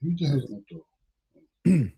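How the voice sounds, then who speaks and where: A man joining a live stream as a caller, chatting casually in an excitable, slangy way. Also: a little high, slow, over a noisy crowd. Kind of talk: casual chat. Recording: dull lo-fi call audio.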